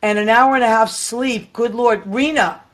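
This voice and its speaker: A hoarse woman in her fifties hosting a casual live chat from home, rambling and tired, talking into a webcam. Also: on the high side, moderate pace. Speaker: livestream host. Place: home room with Christmas tree.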